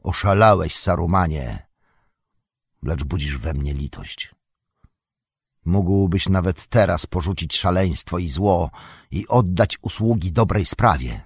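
A man's voice: deep voice, lively intonation, takes it slowly, breathy edge, no room sound, studio quality, heavily acted. A man voicing a stern, commanding old male wizard with an authoritative manner. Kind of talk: audiobook character reading.

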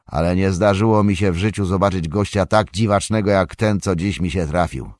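A man voicing a stout, older male hobbit farmer who talks loudly and heartily. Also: brisk, no room sound, clean studio sound, natural voice. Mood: hearty. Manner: loud, booming and hospitable.

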